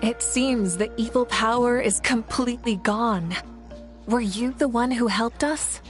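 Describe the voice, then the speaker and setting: A woman's voice: on the high side, a little slow, over music, lightly acted. A woman voicing a confident, teasing, inspirational female leader in a video game. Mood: relieved. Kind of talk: video game character acting.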